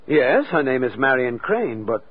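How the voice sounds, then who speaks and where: A thin vintage radio recording holds a suspicious, reluctant underworld go-between. His husky voice rises and falls a good deal. He is wary and hesitant, in forties crime-drama style. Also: medium pitch, big pitch swings, measured pace. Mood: reluctant, uneasy. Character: guarded, nervous male go-between, reluctant and suspicious. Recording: tinny, band-limited old radio recording.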